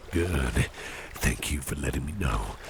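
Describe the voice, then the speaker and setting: Man playing a very deep, gravelly suave boss. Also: lively intonation, a little hiss or hum, exaggerated voice. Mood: courteous, coaxing. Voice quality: very deep, gravelly, breathy half-whisper. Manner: slow, gentlemanly, reassuring, coaxing. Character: suave, attentive gentleman boss courting an employee. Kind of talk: ASMR roleplay.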